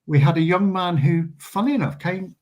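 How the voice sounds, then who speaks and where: A man's voice: sits high, moderate pace, a little mellow, slight background noise. A man being interviewed as a guest, a celebrant speaking earnestly and hesitantly, with many ums.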